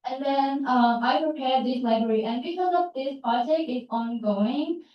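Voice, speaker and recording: A young woman presents her research in a large, very echoey hall, caught from a distance on a dull, muffled recording. Her voice sits a bit high, and she talks haltingly, often pausing to find the right words. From Thailand. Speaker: student presenter. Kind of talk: short research talk and Q&A.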